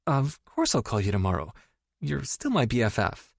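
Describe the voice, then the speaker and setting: A woman's voice: extremely low pitch, huge pitch swings, normal speed, gravelly, no echo, clean studio sound, heavily acted. A man voicing a reserved young woman who speaks tightly and keeps her composure in an audiobook. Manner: tight, composed, a little exasperated. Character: guarded, reserved young woman, easily embarrassed. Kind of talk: audiobook character voice.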